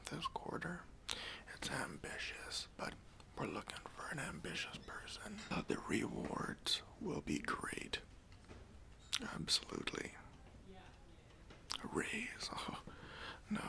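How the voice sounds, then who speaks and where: A man playing a stingy, demanding boss in an ASMR roleplay, speaking in a breathy, hesitant whisper with condescending politeness, close to the microphone. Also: a little high, sharp tone, full character voice. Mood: smug, officious. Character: stingy, demanding male boss giving a job interview.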